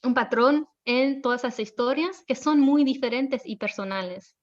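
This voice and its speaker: A woman's voice: high-pitched, moderate pace, muffled recording. A woman, a journalist guest on a podcast, speaking thoughtfully and searching for words now and then. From Belgium and El Salvador. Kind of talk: casual podcast conversation.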